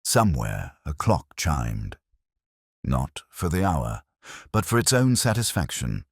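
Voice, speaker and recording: A man narrating a scripted first-person detective story in a measured, reflective, literary manner. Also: extremely low pitch, big pitch swings, husky, no room sound, clean studio sound.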